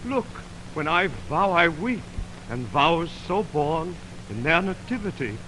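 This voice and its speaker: Noisy 1929 radio sound surrounds a slightly high, very animated male voice. a love-struck young man pleading earnestly in declamatory Shakespearean verse. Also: measured pace, full character voice. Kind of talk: 1920s radio Shakespeare acting. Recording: noisy 1929 radio aircheck from optical film.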